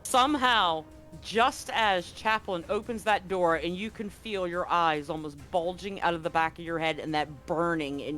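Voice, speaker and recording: A man running a tabletop sci-fi roleplaying game, explaining rules conversationally and narrating scenes with some drama. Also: sits high. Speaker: game master. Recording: faint music under the voice. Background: soft background music.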